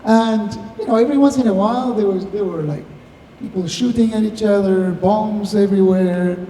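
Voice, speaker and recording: Male founder, 50s, Argentinian accent, reflective Q&A delivery, very dark soft timbre, roomy hall. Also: sits high, moderate pace. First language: Spanish. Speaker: tech founder, guest speaker. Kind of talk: live Q&A, anecdotal talk. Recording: distant echoey hall, faint noise.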